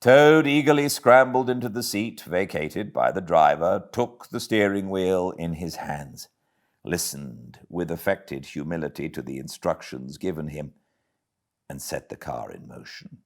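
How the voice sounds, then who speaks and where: A man with a British accent reading a classic story aloud as an audiobook narrator, dramatic and theatrical. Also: low-pitched. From Britain.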